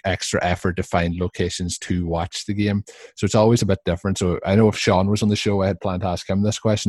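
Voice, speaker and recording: A man with a deep voice talks as a podcast host about sport. His delivery is conversational and rambles a little, and the sound is dry and close. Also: a little mellow, no echo. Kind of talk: casual sports chat.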